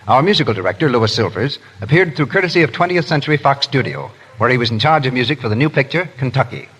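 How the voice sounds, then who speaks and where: A man's voice: medium pitch, a bit bright, slightly rough, long reverb tail, poor audio. An American man working as a radio announcer, reading ads and credits in a 1930s broadcast style.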